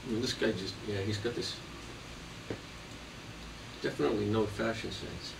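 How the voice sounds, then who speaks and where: A man's voice: fairly low pitch, normal speed, creaky. A man chatting casually, with a loose, teasing and conversational manner. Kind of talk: casual chat, joking banter. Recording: noisy, distant mic.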